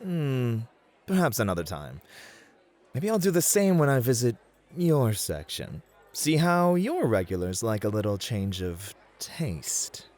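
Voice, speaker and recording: A man playing a lively, sassy bunny-boy host. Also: medium pitch, measured pace. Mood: confident challenge.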